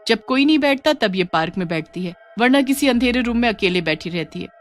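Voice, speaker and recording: A woman's voice: fairly high pitch, expressive, brisk, soft background music, voice pushed up, lightly acted. A woman voicing a friendly young college student in a story, chatty and gossipy. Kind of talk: Hindi story dialogue.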